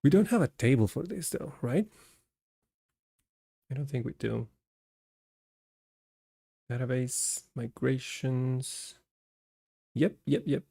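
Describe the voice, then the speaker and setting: Guy from costa rica on a coding stream, talks slow and easy, mid-pitch, thinking out loud. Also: gravelly, breathy edge. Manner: talks through code as he works, chatty with viewers.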